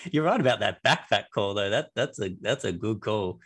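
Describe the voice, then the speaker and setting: A man's voice: fairly low pitch, animated, moderate pace, a bit bright, husky. A man co-hosting a casual podcast chat, often hesitating and repeating words. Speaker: podcast co-host.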